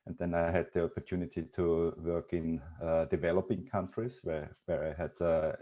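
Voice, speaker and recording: A man's voice: low-pitched, dark tone. A man from Austria, a researcher, introducing himself hesitantly in an online talk, with many fillers. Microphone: video call mic. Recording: dull lo-fi video call audio.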